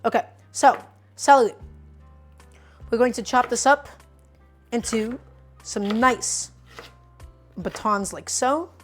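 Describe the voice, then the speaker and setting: A young woman chats her way through a cooking stream in a voice pitched a little high. She teases her viewers and walks them through each step as she chops. Soft music plays underneath. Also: slow, pauses often. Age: 20s. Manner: playful, teasing, explains step by step while chopping. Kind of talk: casual cooking livestream, chatting with viewers.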